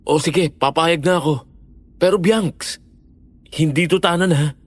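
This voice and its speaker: A caring young boyfriend in an audio drama, played by a man, with a fairly high voice for a male. He is patient and reasonable, talking earnestly and gently. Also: measured pace, no room sound. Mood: earnest, reasoning. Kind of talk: Filipino audio horror-story drama acting.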